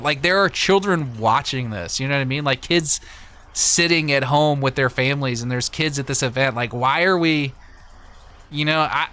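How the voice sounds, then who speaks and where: Clear, bright-voiced American man chatting. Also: a little high. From Missouri, United States. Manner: conversational, opinionated. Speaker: livestream host. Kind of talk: casual livestream chat, answering viewer comments. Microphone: close desktop USB microphone.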